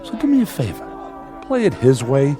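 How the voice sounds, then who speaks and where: Animated, businesslike TV director. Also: male voice, mid-range voice, normal speed. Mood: weary, practical. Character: brisk, weary television director keeping the shoot moving. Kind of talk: radio drama acting. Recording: faint music behind the voice.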